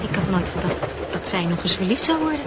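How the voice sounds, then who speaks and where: A woman playing a guarded teenage schoolgirl who is quick to indignation, in a radio drama. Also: medium pitch, expressive, natural voice. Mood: coy, teasing. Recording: noisy radio drama recording.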